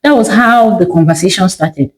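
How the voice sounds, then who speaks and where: A woman's voice: mid-range voice, normal speed. A woman with a Nigerian accent narrating a scripted story in a theatrical way, with emphatic short phrases and rhetorical questions.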